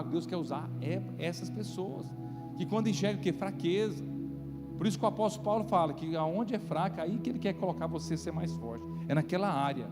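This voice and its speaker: High-pitched Brazilian male pastor preaching. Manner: conversational, checks in with listeners through rhetorical questions. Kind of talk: sermon preached to a congregation. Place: church, live-streamed service. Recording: reverberant hall, loud music underneath. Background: loud music.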